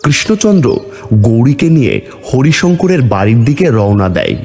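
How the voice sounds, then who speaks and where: Male narrator for a fairy-tale cartoon: mid-pitched, very animated delivery, soft music underneath. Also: normal speed.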